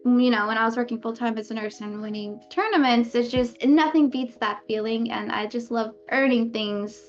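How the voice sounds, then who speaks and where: High-voiced woman, dull lo-fi sound. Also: steady tone, moderate pace. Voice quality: high-pitched voice. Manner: laughs often while telling stories. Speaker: pro gamer guest. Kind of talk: casual podcast interview. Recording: dull lo-fi audio.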